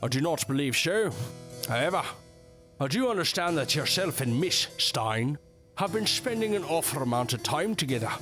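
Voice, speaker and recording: A man's voice: fairly high pitch, very animated, moderate pace, music in the background. An actor playing a haughty, spiteful male professor in an audio drama, speaking in a pompous, formal, sneering way. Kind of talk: period audio drama acting.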